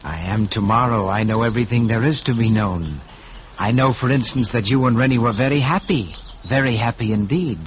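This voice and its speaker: A man's voice: mid-range voice, a little hiss or hum, lightly acted. Man playing solemn, all-knowing spirit of Tomorrow, muffled. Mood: grave, insistent. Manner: measured, solemn, poetic narration of visions. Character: personified Tomorrow, all-knowing, calm, unyielding male guide. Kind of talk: old-time radio drama acting. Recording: muffled vintage radio recording with music behind.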